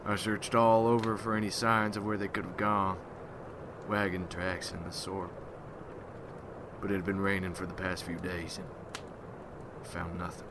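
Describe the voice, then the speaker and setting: A man playing a weary, haunted rural Civil War veteran turned vampire hunter, telling his story slowly and haltingly in an ASMR roleplay. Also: sits low, little pitch movement, noisy background. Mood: somber. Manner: slow, halting, somber folksy storytelling.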